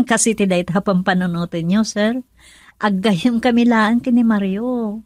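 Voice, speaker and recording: An actress playing a polite, modest office employee in an Ilocano radio drama. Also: female voice, mid-range voice, steady tone, measured pace, darkish tone, dry recording, studio quality, close to an everyday voice. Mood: polite, grateful.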